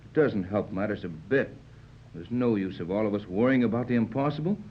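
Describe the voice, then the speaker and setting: An actor playing a steady, plain-spoken yacht captain in a 1930s radio adventure serial. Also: male voice, on the low side, slight background noise, natural voice. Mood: reluctant. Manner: measured, plain-spoken, reluctant to alarm. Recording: muffled old 1930s radio recording.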